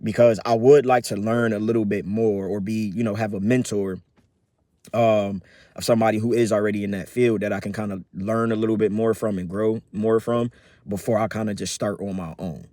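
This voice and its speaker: A man in his thirties giving a casual personal update as a vlogger, rambling with many fillers and restarts in a relaxed, upbeat way. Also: fairly low pitch, brisk, dry recording. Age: 30s.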